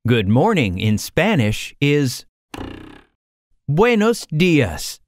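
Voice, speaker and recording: A woman's voice: sits low, big pitch swings, very slow, darkish tone, no room sound, exaggerated voice. A woman voicing a friendly talking smartphone translator in a children's cartoon, showy and singsong as it teaches words. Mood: helpful. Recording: children's music under the voice.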